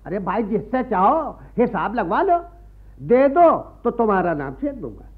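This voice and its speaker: An actor playing a greedy, wily priest and moneylender in a radio play, sanctimonious and wheedling. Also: male voice, sits high, big pitch swings, moderate pace, husky, exaggerated voice. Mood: smug, demanding. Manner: sanctimonious, wheedling, repeats a pious catchphrase. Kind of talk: Hindi radio play acting. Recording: muffled archival radio play recording.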